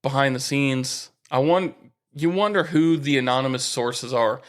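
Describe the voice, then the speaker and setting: A man's voice: mid-range voice, normal speed. A man co-hosting a sports podcast in casual conversation, slangy, with a dry, wry manner.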